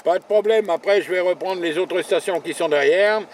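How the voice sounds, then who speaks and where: A man with a slightly high voice makes casual ham radio contacts from a car, with faint vehicle noise around him. He rattles off call signs and jargon and often repeats his words. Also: moderate pace. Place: inside a car, mobile radio station.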